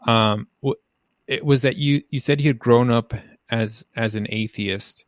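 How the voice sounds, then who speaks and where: A man hosting a podcast, asking questions in a halting way and searching for his words. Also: medium pitch, dry recording. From United States. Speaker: podcast host. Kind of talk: conversational interview hosting.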